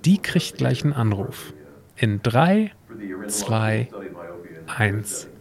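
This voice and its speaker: A man's voice: mid-range voice, big pitch swings, extremely slow, creaky, crowd noise. A man hosting a semi-scripted audio play, explaining things to a companion and to listeners. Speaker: podcast host and co-creator of an exhibition audio play.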